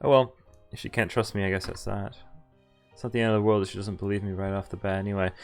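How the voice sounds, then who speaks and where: A man's voice: lowish voice, flat delivery, quick pace, creaky, faint music behind. A man streaming a visual novel, thinking aloud about choices and reading the story text in first person. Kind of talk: live game commentary and reading text aloud.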